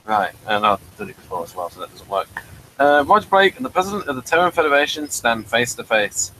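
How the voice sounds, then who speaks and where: A man's voice: mid-range voice, very animated, a little fast, bright, forceful tone, slight background noise, rough recording. A man hosting a casual review chat, rambling along with jokey asides. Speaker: host of an audio drama review show.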